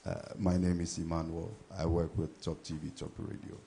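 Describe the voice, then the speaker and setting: A journalist asks a question from the floor in a very deep, dark voice. He speaks quickly and trails off quietly. A faint hiss sits behind him. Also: monotone.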